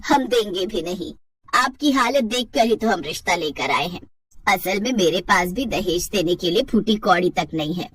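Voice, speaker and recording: A woman performs a middle-aged stepmother from a cartoon moral tale. Her voice sits low and slightly rough. She is bossy and contemptuous, scheming in every phrase. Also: expressive, normal speed, fairly bright tone, no echo. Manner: scornful, bossy. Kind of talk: Hindi moral-story cartoon acting.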